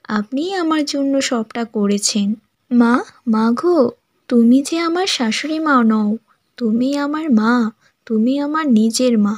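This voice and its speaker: A woman voicing a gentle, earnest young daughter-in-law in a family drama cartoon. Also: fairly high pitch, slow, no room sound, studio quality. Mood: grateful. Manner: polite, sincere.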